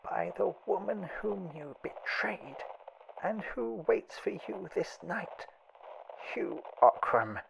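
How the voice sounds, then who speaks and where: A man voicing an ancient, relentless old nurse woman in an audiobook horror tale, with a thin, breathy voice and solemn, insistent delivery. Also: sits high, lively intonation, takes it slowly, a bit bright, slight background noise, pitched above the performer's own voice, heavily acted, rough recording. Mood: solemn, imploring.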